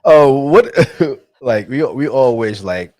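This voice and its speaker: A man in his thirties hosting a podcast, relaxed and halting, often restarting his sentences. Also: medium pitch, dry recording, studio quality. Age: 30s. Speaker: podcast host. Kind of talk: casual podcast conversation.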